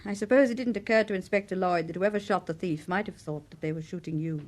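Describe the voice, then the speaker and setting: A woman playing a detective's sharp-witted English wife in a radio drama, poised, arch and gently mocking. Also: fairly low pitch, fast talker, a little hiss or hum, lightly acted. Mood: insistent, curious.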